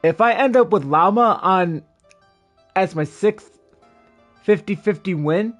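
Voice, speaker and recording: High-voiced man chatting on a game stream. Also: slow, a bit bright. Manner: rambling, repeats phrases. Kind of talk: casual livestream chat over gameplay. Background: game music.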